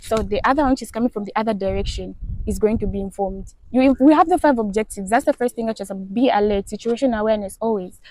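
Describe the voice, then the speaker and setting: A woman's voice: medium pitch, fast, darkish tone, low-quality recording. A woman in her twenties with a Zambian accent, explaining things informally as a student interviewee, emphatic and repeating phrases for stress. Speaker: air traffic control student, interviewee.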